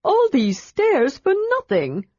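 A woman voicing a cheerful, chatty young male kender, eager and quick to take offense, in a storybook reading. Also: high-pitched, dry recording, voice pushed up, heavily acted. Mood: indignant. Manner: eager, indignant, excitable. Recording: dull lo-fi recording.

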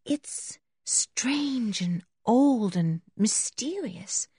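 A woman voicing a dreamy, awestruck young girl in a storybook, with breathy wonder and drawn-out exclamations. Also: a little high, animated, warm tone, no room sound, studio quality, voice pushed up. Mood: hushed awe.

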